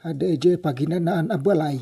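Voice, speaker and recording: An actor playing a frightened, excitable hacienda henchman in a Filipino radio comedy-drama, loud and agitated, with a Filipino accent. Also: a little high, brisk, exaggerated voice. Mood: anxious. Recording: clearly noisy background with clicks.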